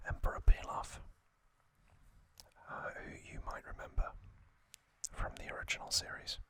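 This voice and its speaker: A man narrating trivia as an ASMR host in a gentle, breathy, deliberate whisper.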